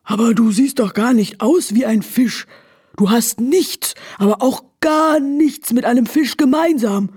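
A woman's voice: on the high side, unhurried, a little mellow, breathy edge, no echo, clean studio sound. A woman voicing a fretful horse who moans and frets dramatically about what to dress up as. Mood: indignant.

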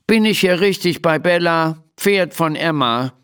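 A man's voice: fairly high pitch, very animated, measured pace, gravelly, fairly bright tone, voice pushed up, exaggerated voice. A man voicing a tiny, grumpy and fussy gnome doctor in a children's story, pompous and easily affronted.

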